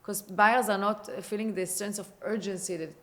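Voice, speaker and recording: A woman in her forties with an Israeli accent, a real estate agent talking casually on a podcast, chatty and full of quick restarts. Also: medium pitch, steady tone.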